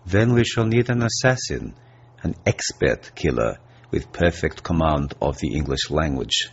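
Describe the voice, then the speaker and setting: A man playing a coolly calculating Soviet strategist in a radio drama, speaking with measured, precise confidence. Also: very deep voice, lively intonation, breathy edge, highs cut off, heavily acted. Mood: cool, scheming.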